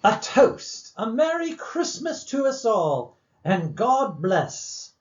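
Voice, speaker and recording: A performer playing a gentle, kindly, forgiving father, earnest in manner, in an audio drama. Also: mid-range voice, big pitch swings, slow, dull audio. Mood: kindly, cheerful.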